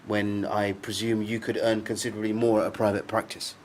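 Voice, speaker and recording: A man playing a documentary journalist in a radio drama. His voice is on the low side, polite and halting, yet dogged. There is faint ambience behind him. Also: little pitch movement, natural voice. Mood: probing, accusing. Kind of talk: naturalistic radio drama acting. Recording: noisy background ambience.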